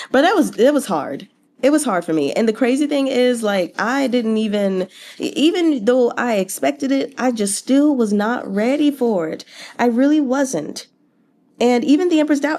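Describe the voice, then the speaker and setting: A woman's voice: medium pitch, mellow. A woman talking casually and expressively through a book recap, with conversational, incredulous asides. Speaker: book read-along vlogger.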